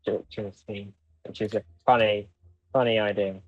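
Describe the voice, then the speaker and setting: A man with a lowish voice chats casually as a podcast co-host. The recording is very dry and a little muffled. His talk is conversational review. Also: level tone, moderate pace, no room sound.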